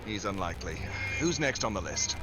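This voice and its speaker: An actor playing a wry, tough American secret agent in an old-time style radio drama. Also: male voice, fairly low pitch, lively intonation, a little fast, over music, lightly acted. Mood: wry, skeptical. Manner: wry, sardonic.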